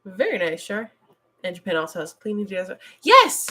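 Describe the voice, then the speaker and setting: Animated laughing woman chatting casually. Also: medium pitch, normal speed. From United States. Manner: animated, laughs often, blunt opinions. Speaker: stream host. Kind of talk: casual chat reading chat messages.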